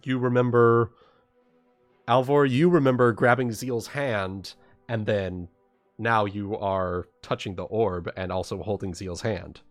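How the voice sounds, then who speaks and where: A man narrating a tabletop game as game master, halting and repeating phrases as he improvises, with faint music behind him. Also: lowish voice, steady tone, no echo.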